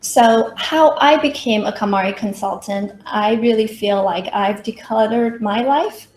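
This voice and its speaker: A woman's voice: a little high, measured pace, a little mellow. A middle-aged woman from Taiwan giving a prepared workshop presentation with personal stories, as a professional consultant. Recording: roomy echo, faint background noise.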